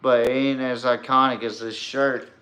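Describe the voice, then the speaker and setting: A man's voice: medium pitch, little pitch movement, moderate pace, pushed and bright. A man hosting a podcast, giving casual, opinionated commentary in a rambling, blunt and emphatic way. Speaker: sports podcast host.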